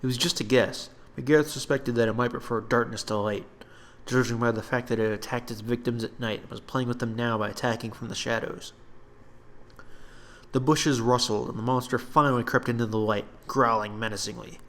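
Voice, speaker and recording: Guy reading his fantasy book out loud, audiobook style, puts drama into it. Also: medium pitch, fairly even intonation, brisk. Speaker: author reading own book.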